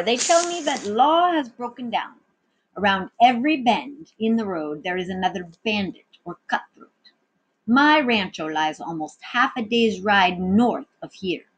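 A worldly, commanding sea captain from a storybook, acted out by a woman reading aloud. He speaks slowly and theatrically, with a playful slyness and little questioning tags at the ends of his lines. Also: medium pitch, slightly rough, rough recording. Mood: confiding, warning. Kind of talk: storybook read-aloud dialogue.

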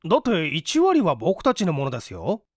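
A man's voice: a little high, wildly swooping pitch, moderate pace, no room sound, clean studio sound. A man voicing a hungry, penniless young man, weary but courteous, coaxing and grumbling politely in a dramatised story reading. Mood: coaxing, impatient.

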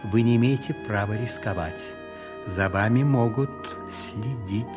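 An actor playing a cold, calculating cardinal and spymaster in a radio play, deliberate and commanding, with savouring pauses. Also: male voice, lowish voice, over music, lightly acted.

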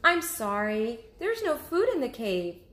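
A woman's voice: a little high, big pitch swings, measured pace, a bit bright, echoey room, voice pushed up. A woman voicing a tired, patient mother bear who speaks in a weary, soothing way.

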